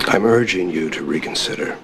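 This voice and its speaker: An actor playing a controlling, wealthy middle-aged uncle with a formal, measured, coolly menacing manner in a television soap. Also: male voice, low-pitched, creaky, background noise. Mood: stern, cold. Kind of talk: television soap opera acting. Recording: TV drama audio under loud music.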